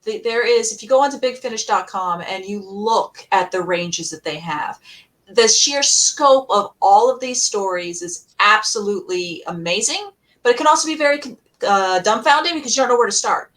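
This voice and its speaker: A woman's voice: mid-range voice, expressive, normal speed, a bit bright. A woman on a fan panel, talking casually and enthusiastically, stressing points by repeating words.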